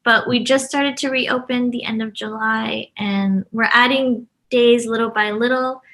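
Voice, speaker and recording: A woman with a fairly high, strikingly bright voice talks as a guest in a relaxed interview. Her delivery is informal and chatty, like easy conversation, and she is quick to laugh. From grew up in Brazil, the US and Japan. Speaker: interview guest, stationery shop co-owner.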